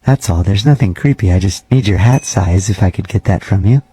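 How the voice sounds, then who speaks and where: Man putting on a soft, creepy, low-voiced gym janitor. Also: brisk, background noise, close to an everyday voice. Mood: creepily earnest. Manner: polite, hesitant, unsettlingly earnest. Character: soft-spoken, creepily friendly male gym night janitor.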